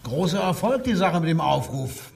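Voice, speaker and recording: Slightly off the mic with faint hiss, a male 1940s police interrogator in a crime radio play. His intonation swings widely as he needles with feigned joviality and quiet menace. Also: fairly high pitch, very animated, normal speed, gravelly, far from the mic. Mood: smug, insinuating. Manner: feigned joviality, probing, insinuating. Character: male Nazi-era police inspector, falsely genial, needling, menacing. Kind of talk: radio crime drama dialogue.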